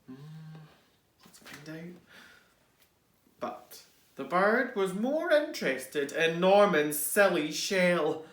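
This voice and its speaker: A man reading a children's storybook aloud as a teacher, expressively, with dramatic pauses and hushed asides. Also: high-pitched. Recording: roomy, echoing indoor sound.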